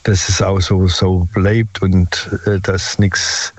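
An older man calls in and tells his story haltingly, with many filler sounds. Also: sits low, level tone, husky, no room sound. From Stuttgart area. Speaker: caller. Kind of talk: phone-in storytelling. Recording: dull lo-fi phone line.

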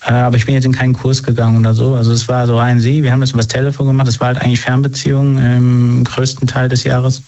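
Flat-voiced man talking hesitantly. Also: mid-range voice, quick pace, few pauses. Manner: hesitant, with many fillers and restarts. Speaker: interview guest. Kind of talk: casual conversational interview. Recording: dull lo-fi sound.

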